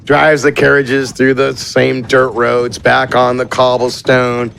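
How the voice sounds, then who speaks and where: A man's voice: medium pitch, monotone, slow, creaky, a bit bright. A man narrating a tabletop game as game master in a casual, descriptive, conversational way.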